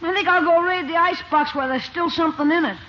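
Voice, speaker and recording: Wisecracking kid nephew, really high bright voice, old radio sitcom, muffled. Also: female voice, fast, over loud music. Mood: scheming. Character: brash, wisecracking young nephew, a boy. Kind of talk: 1950s radio situation comedy acting. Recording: old muffled radio recording, faint hiss.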